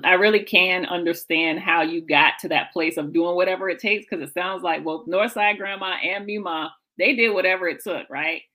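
Bright, low-pitched American woman hosting. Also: low-quality recording. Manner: affirming, recaps what the guest said. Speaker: show host, interviewer. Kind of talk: live show interview hosting.

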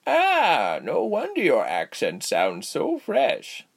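A man acts out an elderly woman healer from a storybook. The voice is lifted high and swings widely, with slow, drawn-out phrases. The manner is cheery, giggly and gently teasing. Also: huge pitch swings, vocal fry, husky, heavily acted. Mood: fond, breezy. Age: older. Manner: slow, drawling, kindly teasing delivery. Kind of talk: storybook character voice.

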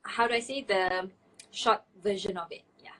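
A woman's voice: medium pitch, steady tone, moderate pace, bright, forceful tone, rough recording. A woman from Malaysia chatting casually as an interview guest, playful and self-deprecating, on a video call. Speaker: interview guest, startup managing director. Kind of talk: casual chat. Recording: video call with faint background noise.

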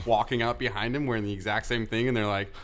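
A man joining a casual podcast chat as a guest, laughing often and acting out scenes in squeaky voices. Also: fairly even intonation, fairly quick, a crowd in the background.

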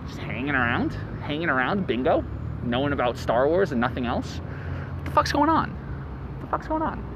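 A man in his late twenties hosts a podcast in a rambling, profane monologue, with a slightly high voice that swings all over the place. He was recorded outdoors, and steady street traffic noise sits clearly under his voice. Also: moderate pace. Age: late 20s. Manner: rambling, profane, animated storyteller. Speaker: podcast host.

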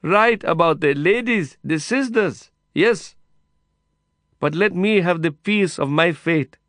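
A man voicing a proud, desperate male prisoner, pleading loudly and insistently through a fence. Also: high-pitched, lively intonation, moderate pace, clear, resonant tone, dry recording, studio quality, voice pushed up. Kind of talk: audiobook character voice.

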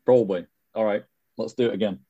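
Low-voiced podcast host, dry sound. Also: male voice, steady tone, quick pace, warm tone, breathy edge, no room sound, highs cut off. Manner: chatty, stumbling restarts, laughs often. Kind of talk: casual podcast interview.

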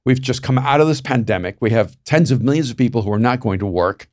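A man's voice: mid-range voice, fast talker, a little mellow. A man from El Salvador speaking emphatically in an opinionated panel discussion, often repeating his points.

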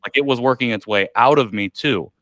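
A man's voice: medium pitch, fairly quick, fairly bright tone, dry recording. A man, a podcast guest telling a candid personal story in a reflective way, with many likes and ums. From United States.

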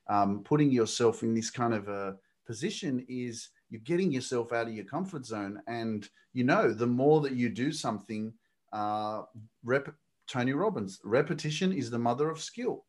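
A man's voice: mid-range voice, normal speed. A male podcast host reflecting on comfort zones in a casual, motivational way, with fillers. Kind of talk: casual interview chat.

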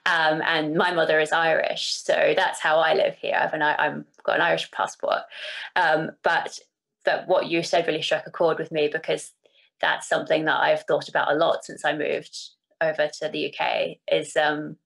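Low creaky-voiced New woman. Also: level tone, moderate pace, fairly bright tone. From New Zealand. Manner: thoughtful, hesitant with fillers. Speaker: interviewer. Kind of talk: casual interview chat.

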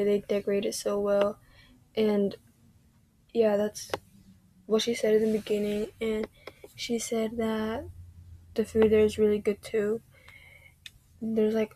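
Young female podcast host; casual recap, filler-heavy, flat intonation, breathy at times, dry recording. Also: mid-range voice, dry recording. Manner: chatty, fillers, drifts into whispery stretches.

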